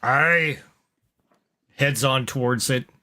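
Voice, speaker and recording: Mid-pitched man narrating game moves. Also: slow, sharp tone. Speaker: player. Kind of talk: tabletop roleplay, third-person action narration.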